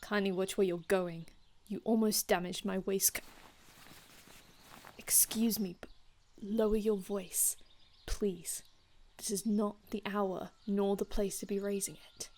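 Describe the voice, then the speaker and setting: A woman playing an aloof, formal male vampire healer from another time in an ASMR roleplay, speaking in a measured, haughty, hushed manner with archaic phrasing. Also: medium pitch, fairly even intonation, slight background noise, lightly acted. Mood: indignant, restrained. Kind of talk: ASMR roleplay, scripted in-character monologue.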